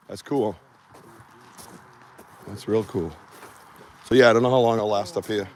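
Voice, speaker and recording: A man's voice: lowish voice, fairly even intonation, unhurried, a little mellow. A man with a Boston accent from Massachusetts's South Shore, hosting a live Bigfoot research stream outdoors on his phone, chatty and joking with viewers. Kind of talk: casual live-stream chat. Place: outdoors in rural woods. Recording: outdoor ambient noise.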